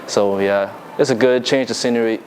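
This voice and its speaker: A man's voice: fairly low pitch, fairly even intonation, normal speed, a little mellow, a little hiss or hum, low-quality recording. Laid-back young Bahamian man. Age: young adult. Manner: laid-back, loose, lots of fillers and restarts. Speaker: musician guest. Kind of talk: casual interview chat.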